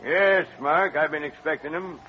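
An actor playing a gruff, commanding wagon train guide with a way of speaking, in an old-time radio western. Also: male voice, a little high, moderate pace, natural voice. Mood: stern, grave. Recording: dull vintage radio recording, noisy background.

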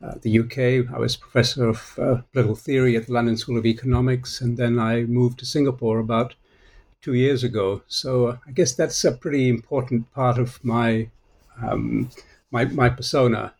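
A man from Malaysia in his sixties, a political theory professor answering interview questions haltingly, with many pauses. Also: medium pitch, steady tone, creaky, a little mellow. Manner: hesitant, many fillers and restarts.

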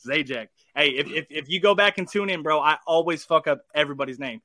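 A man's voice: fairly high pitch, fast talker, pushed and bright, dry recording. A man hosting a casual podcast interview, rambling and restarting often, with casual swearing. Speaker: podcast host.

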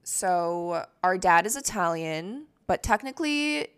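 A woman's voice: on the low side, expressive, takes it slowly, fairly bright tone, clean tone. A Canadian woman in her thirties, co-hosting a podcast in a casual, rambling chat full of "like" fillers. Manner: chatty, rambling, frequent "like" fillers. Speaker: podcast co-host.

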